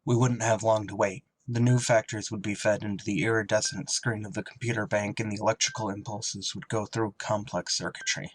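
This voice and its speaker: A man playing a blunt, solemn spaceship pilot who also narrates a radio drama, deliberate and terse, with buried feeling. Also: fairly low pitch, level tone, husky, poor audio. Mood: brooding narration. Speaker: actor and first-person narrator.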